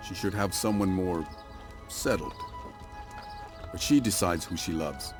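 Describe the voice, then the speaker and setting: An actor playing a solemn, stoic warrior and protective brother in a dramatic game cutscene. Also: male voice, low-pitched, unhurried. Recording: clear music behind the voice.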